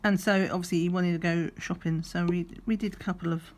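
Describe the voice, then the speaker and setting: A middle-aged woman, slightly croaky, chatting in a rambling way while doing a craft, sometimes counting under her breath. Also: on the low side, steady tone, fairly quick. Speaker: crafting vlogger. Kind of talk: relaxed chatty craft vlog. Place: at a craft table indoors.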